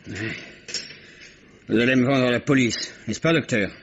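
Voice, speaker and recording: A man's voice: medium pitch, normal speed, slight background noise, close to an everyday voice. An actor playing a surly, crude-talking taxi driver in his thirties in a vintage French radio drama. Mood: bitter. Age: 30s. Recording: dull old radio archive recording.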